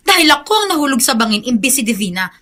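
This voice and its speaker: A woman's voice: a little high, sing-song, racing, sharp tone, exaggerated voice. An actress playing a bitter, defiant young woman in a Filipino radio drama, speaking in an accusing, emotional way. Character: bitter, defiant young woman rejecting her long-lost mother. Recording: background music under dialogue.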